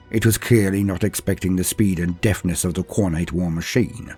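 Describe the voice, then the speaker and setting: A man narrating a dark fantasy battle tale in a grave, theatrical way, with a husky voice. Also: fairly low pitch. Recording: background music under the voice. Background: music.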